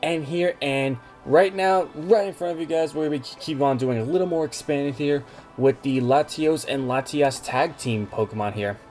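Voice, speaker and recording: Male host, warm low-key tone, quietly excited card game deck breakdown. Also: normal speed, faint music behind. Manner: speaking softly, keeping his voice down; upbeat, chatty, enthusiastic. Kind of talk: casual deck walkthrough.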